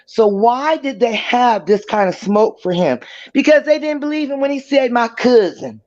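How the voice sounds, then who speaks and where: A man's voice: extremely high pitch, big pitch swings, normal speed, a little mellow. A man delivers casual, opinionated commentary. He is emphatic and likes to repeat his phrases. The audio is dull and lo-fi, like a call. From US South.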